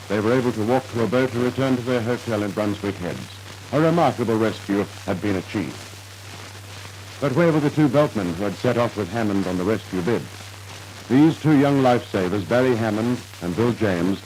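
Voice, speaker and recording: Low-voiced 1950s radio narrator, noisy recording. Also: male voice, a little mellow. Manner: dramatic, formal storytelling delivery. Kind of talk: 1950s radio documentary drama narration, scripted.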